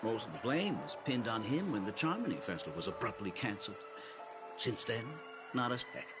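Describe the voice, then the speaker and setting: A man's voice: fairly low pitch, sing-song, a little fast. Knowing male patrolman with swooping intonation. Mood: conspiratorial. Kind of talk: video game dialogue acting. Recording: voice over game music. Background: clear background music.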